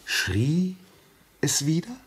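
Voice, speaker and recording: An actor playing a sceptical, rational gentleman visitor in a German radio play, reasoning emphatically and politely dismissive. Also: fairly high pitch, big pitch swings, drawn-out words, slightly rough, exaggerated voice. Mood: intrigued questioning.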